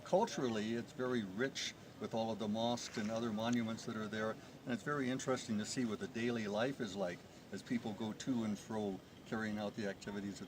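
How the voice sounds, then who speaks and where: A middle-aged man from the United States, a tourist guest describing his trip with enthusiasm, recorded outdoors in the mountains. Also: mid-range voice. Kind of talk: outdoor interview. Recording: noisy outdoor ambience.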